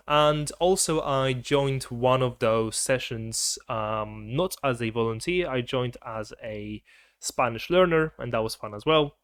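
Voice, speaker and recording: Young male vlogger, casual and rambling, mid pitch, dry close recording. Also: fairly bright tone, no room sound. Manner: chatty, rambling, self-correcting. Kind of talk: casual chat to camera.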